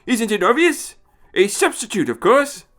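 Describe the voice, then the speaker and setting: A man voicing a fussy, scornful old time traveller, excitable and chiding, with hesitant little interjections, in an audio story. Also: high-pitched, a little slow, pitched above the performer's own voice, exaggerated voice. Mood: scornful, excited.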